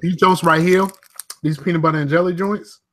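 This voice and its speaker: Brief: male co-host, slightly high voice, relaxed, slangy, joking. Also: fairly quick. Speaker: podcast co-host. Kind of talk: casual podcast chat.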